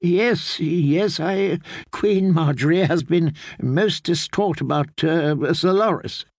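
Audiobook figure: male narrator voicing a cowering old healer, high and trembling, stammers, dry booth. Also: takes it slowly, darkish tone, no echo. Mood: fearful. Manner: quavering, stammering, pleading. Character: frightened elderly male court healer, stammering. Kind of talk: audiobook character voice.

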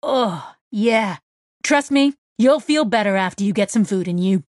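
A woman's voice: medium pitch, expressive, a little slow, darkish tone, dry recording, studio quality, natural voice. A woman voicing a small, stocky male tech in an audiobook story, casual and blunt with a playful, joking streak. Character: small stocky man, cocky, laid-back joking tech.

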